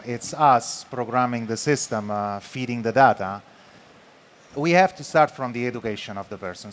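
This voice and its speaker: A man speaking on an industry panel, halting with many fillers and restarts. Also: medium pitch, a little hiss or hum. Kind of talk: panel discussion.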